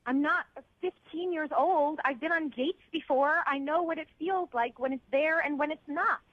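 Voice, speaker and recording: A woman's voice: high-pitched, normal speed, dry recording. A young woman calling a radio show by phone, arguing emotionally in an intense, insistent, pleading way. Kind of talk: emotional argument. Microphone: telephone. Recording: dull, band-limited phone line.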